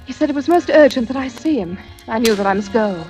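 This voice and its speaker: Woman acting a high-voiced, earnest radio heroine. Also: expressive, fast, a little mellow, faint music behind, lightly acted. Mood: dramatic narration. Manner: earnest, dramatic. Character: frightened, brave, pleading young heroine. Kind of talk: 1940s radio drama acting. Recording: noisy vintage radio recording with clicks.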